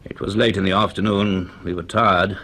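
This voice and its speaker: An actor playing an earnest, commanding British university professor in a radio drama, speaking in a measured, deliberate, authoritative way. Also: male voice, low voice, little pitch movement, vocal fry, a bit bright, heavily acted. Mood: measured, grave. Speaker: actor playing the lead and narrator. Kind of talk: radio drama dialogue and first-person narration.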